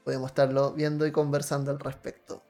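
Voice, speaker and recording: A man with a slightly high voice hosts a casual live stream. He speaks effusively and with enthusiasm, with faint music playing behind him. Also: monotone, fast, mellow. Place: online video call.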